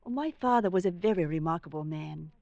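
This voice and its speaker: A woman's voice: on the low side, animated, a little fast, gravelly, darkish tone, no echo, muffled recording. An actress playing a gushing, coy, lonely young bookshop woman, earnest and romantic, in 1940s radio drama style. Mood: wistful, tender.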